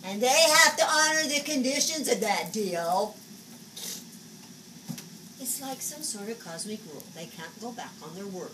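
A woman's voice: mid-range voice, expressive, natural voice. A woman in her seventies playing a flamboyant, agitated psychic who scolds spirits in a dramatic stage monologue. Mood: frustrated. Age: 70s. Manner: dramatic, exasperated, halting muttering to outbursts. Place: small indoor stage set. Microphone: camera a few metres away. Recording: distant camera recording, noisy room.

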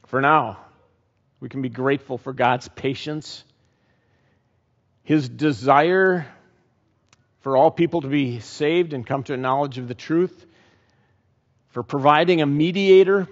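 Slow, earnest pastor in a church. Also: male voice, a little high. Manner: slow, earnest, pausing often. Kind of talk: sermon and closing prayer. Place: church stage, indoors. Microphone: headset mic. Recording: dull church recording with long reverb.

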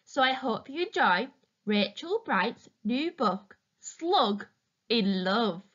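A woman reading a children's picture book aloud expressively and deliberately, as if addressing young listeners. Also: a little high, sing-song, fairly bright tone, muffled recording. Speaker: storytime reader, teacher.

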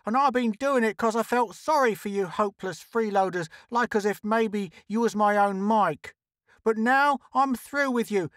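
A brash, tough woman of a mining camp acted out by a man in a pulp science fiction story. The voice is pushed high and loud. She scolds indignantly in rough, unschooled grammar. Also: dry recording, clean studio sound.

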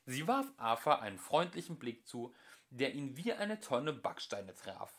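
A man in his thirties reading a novel aloud as a homemade audiobook, shifting his voice for the characters. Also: unhurried. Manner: lively, shifts pitch and loudness for each character. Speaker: audiobook reader. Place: home room, indoors.

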